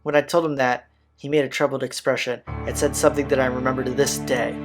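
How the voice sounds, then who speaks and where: A man hosts a playthrough, reading narrative text aloud in a middle-pitched voice. His delivery is informal and slangy. Game music plays clearly behind him. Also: flat delivery, fast talker. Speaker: let's-play host reading a visual novel aloud. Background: visual novel soundtrack.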